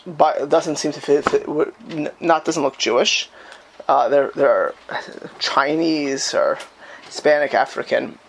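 A man's voice: on the high side, unhurried, creaky, a little hiss or hum. A man teaching a Talmud class in a conversational way. He keeps checking in with his students and acts out the people he quotes.

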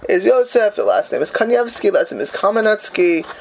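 A man's voice: high voice, very animated, brisk, vocal fry, darkish tone, a little hiss or hum. A man teaching a Talmud class in a conversational way. He keeps checking in with his students and acts out the people he quotes.

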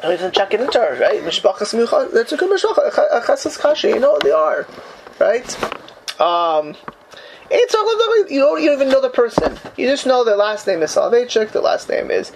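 A man's voice: extremely high pitch, sing-song, a little fast, background noise. A man teaching a Talmud class in a conversational way. He keeps checking in with his students and acts out the people he quotes.

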